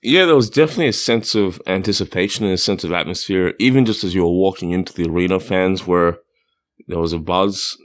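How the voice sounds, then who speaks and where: Deep-voiced, measured podcaster. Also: male voice. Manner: measured, descriptive, thoughtful. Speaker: podcast co-host reporting from the game. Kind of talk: casual sports podcast chat.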